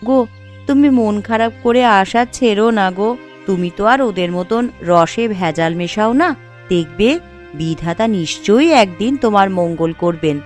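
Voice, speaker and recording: A caring village housewife acted by a woman in a cartoon, speaking at a medium pitch. She is homely and encouraging, with faint music behind her. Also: moderate pace, darkish tone, natural voice. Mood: consoling. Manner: consoling, homely. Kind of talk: cartoon voice acting.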